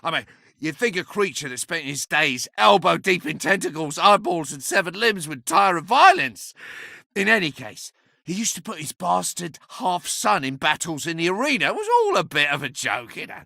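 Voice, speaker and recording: High-pitched, impish, pompous talking relic. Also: male voice, moderate pace, vocal fry, no room sound, studio quality, full character voice. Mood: wry storytelling. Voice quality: animated, theatrical delivery. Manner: sardonic, pompous, chatty, given to chuckling. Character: impish, talkative, bawdy ancient sentient staff, boastful adviser. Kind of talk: theatrical video game character acting.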